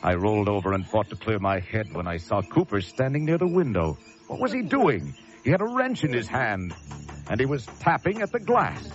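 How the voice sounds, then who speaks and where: Male lighthouse keeper, radio thriller narrator: tense, dramatic, hugely varied pitch, muffled vintage sound over clear music. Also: wildly swooping pitch, normal speed. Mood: exhausted dread. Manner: dramatic, urgent. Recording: dull vintage radio recording, loud music.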